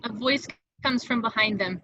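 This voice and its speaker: Bright-voiced woman narrating a script. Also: on the high side, normal speed, lo-fi sound. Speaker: narrator reading stage directions. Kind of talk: scripted narration in a table read.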